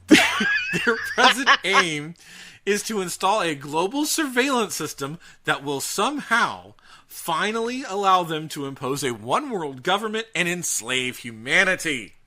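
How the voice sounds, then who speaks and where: Animated man with a high, bright voice. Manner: animated, incredulous, halting restarts. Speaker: podcast host. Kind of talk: casual comedic chat about a bizarre news story.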